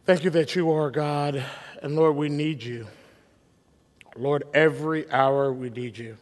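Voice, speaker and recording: Pastor, male, a bit hoarse, slow and searching, echoing sanctuary. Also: a little high, steady tone. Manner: halting, many restarts and fillers, soft reflective prayer. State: losing his voice a little. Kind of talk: sermon. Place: church sanctuary. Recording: long hall reverb.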